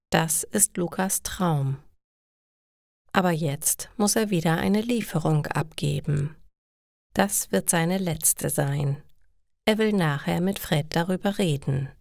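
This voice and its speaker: Slow, lower-pitched woman reading a story. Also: gravelly, darkish tone, no room sound, studio quality. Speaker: storyteller for German learners. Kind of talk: slow scripted story narration for language learners.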